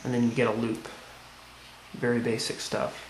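Man with an even voice, echoey room. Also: mid-range voice, normal speed. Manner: plain, step-by-step explaining. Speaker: tutorial presenter. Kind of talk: casual hands-on how-to demonstration. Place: indoors at a tiled tabletop. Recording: echoey room, background noise.

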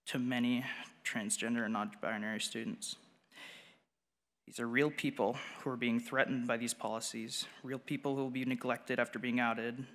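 A man's voice: medium pitch, a bit bright. A young man reading a prepared speech aloud at a podium microphone in a large auditorium. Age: teens or young adult. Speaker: student public speaker at a school board meeting. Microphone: gooseneck podium microphone. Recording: long hall reverb.

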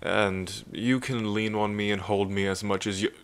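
A man playing a gentle, bashful pirate captain in a soothing, hesitant, soft-spoken ASMR roleplay. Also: on the low side, level tone, moderate pace, clear, resonant tone, natural voice. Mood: reassuring.

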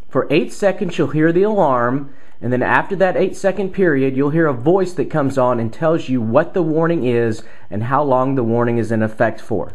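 A man in his thirties, a TV weather presenter, explaining step by step in a methodical, direct way. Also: on the high side. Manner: clear, methodical, direct address to viewer. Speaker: television meteorologist presenting a tutorial.

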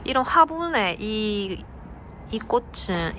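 Woman vlogger, slow and gentle plant narration with small hesitations, home recording with background noise. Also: mid-range voice, big pitch swings. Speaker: succulent vlogger. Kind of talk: casual vlog narration.

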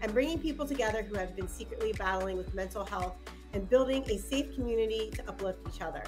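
A woman's voice: mid-range voice, fast talker, music in the background. A woman hosting a podcast, bubbly and enthusiastic, laughing easily as she introduces and chats with her guest. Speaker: podcast host. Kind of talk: casual podcast interview.

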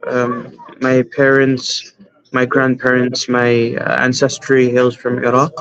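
A man's voice: mid-range voice, monotone, a bit bright. A man from Canada, an imam speaking as a guest in an interview, answering earnestly with many fillers over a video call. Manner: earnest, measured, with frequent fillers. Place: home room, indoors. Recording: dull lo-fi video call audio.

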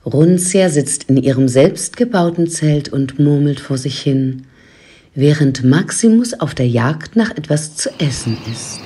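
A woman's voice: low-pitched, animated, measured pace, warm tone, slightly rough. A woman narrating a scripted audio story as its storyteller.

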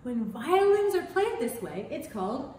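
A woman in her thirties sings and teaches a children's music lesson with a very high, bouncing voice. She sounds animated and sing-song. The room rings with heavy echo and a faint hiss underneath. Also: unhurried. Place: studio in front of green screen.

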